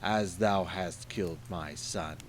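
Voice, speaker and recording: A man voicing a huge, menacing ancient genie. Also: slow. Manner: booming, menacing, archaic speech.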